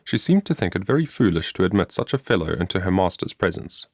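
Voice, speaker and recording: A man reading a moral story as an audiobook narrator, in a measured, scripted storytelling manner. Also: sits low, very animated, no room sound, studio quality.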